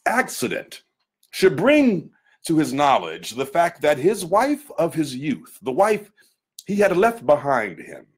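A man giving a dramatic literary reading in a theatrical, deliberate manner with weighty pauses. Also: medium pitch.

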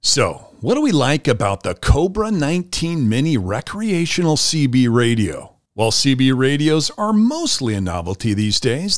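A man's voice: fairly high pitch, no echo, clean studio sound. A man hosting a product review channel, reading a scripted review as a voice-over.

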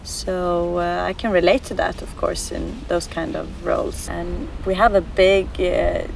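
A reflective woman in her thirties from Sweden, speaking English in a low register with a gravelly edge. She pauses often and searches for her words. Faint music plays behind her. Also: fairly even intonation, far from the mic, rough recording. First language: Swedish. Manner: reflective, earnest, with frequent hesitations. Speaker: actress. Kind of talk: documentary interview. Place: outdoors on a lawn.

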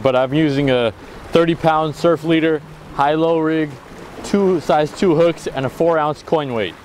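Excitable young man fishing outdoors. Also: a little high. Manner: excitable, exclaims loudly when fish bite. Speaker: fishing vlogger. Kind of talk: casual solo vlog narration. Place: outdoors on a rocky sea jetty. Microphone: action camera, near and far. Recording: outdoor wind and water noise.

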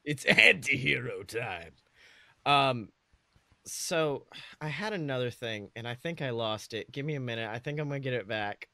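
A man's voice: medium pitch, expressive, normal speed, gravelly, sharp tone, dry recording. A man co-hosting a podcast in casual comedic chat, joking with lots of slang and swearing. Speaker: podcast co-host.

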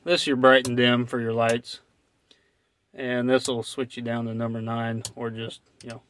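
A man's voice: medium pitch, a bit bright, clear, resonant tone, no room sound. An American man chatting casually through a hands-on tutorial in a laid-back, folksy, plain-spoken way. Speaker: truck driver and vlogger. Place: inside a parked truck cab.

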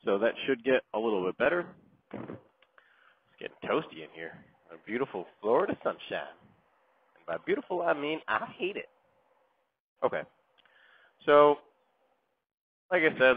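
A man's voice: lowish voice, dry recording. A middle-aged man riding a motorcycle, chatting casually and joking about himself, with plenty of fillers as he goes. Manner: rambling, joking, lots of fillers. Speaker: motorcycle vlogger. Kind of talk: casual self-deprecating chat while riding. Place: outdoors on a moving motorcycle. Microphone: helmet mic while riding. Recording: tinny muffled helmet mic with road noise.

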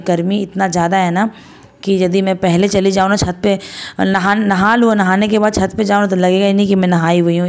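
A woman in her thirties from India, narrating her home chores in a chatty, homely voice-over, still hoarse after a cold. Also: medium pitch, a little mellow. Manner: chatty, homely, rambling with fillers. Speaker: vlogger.

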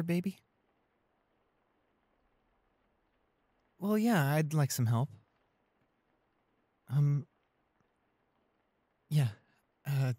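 A man playing a shy, anxious, devoted young boyfriend in an intimate roleplay audio, speaking softly and hesitantly with small stammers. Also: mid-range voice, dry recording, lightly acted. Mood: calmer, hesitant. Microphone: close studio condenser microphone.

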